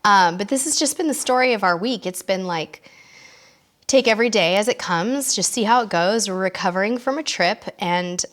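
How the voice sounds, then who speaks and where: A middle-aged woman hosting a casual live stream, chatty with her viewers, her voice hoarse and worn from losing it, often clearing her throat. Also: mid-range voice, normal speed. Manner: chatty, warm toward viewers, reads out comments. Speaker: cruise show host.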